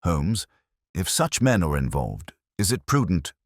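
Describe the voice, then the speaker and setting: A man's voice: low-pitched, sing-song, measured pace, dry recording, clean studio sound, full character voice. A man voicing a detective's loyal, cautious companion in an audiobook, earnest and questioning. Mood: uneasy.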